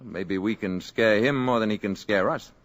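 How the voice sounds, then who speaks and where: Brief: actor as an alien visitor in human guise, low, measured, quietly assured; 1950s radio play. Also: male voice, close to an everyday voice. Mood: calm. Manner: soft-spoken, measured and gently assured. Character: calm, courteous male space visitor posing as a man. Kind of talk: 1950s radio drama acting.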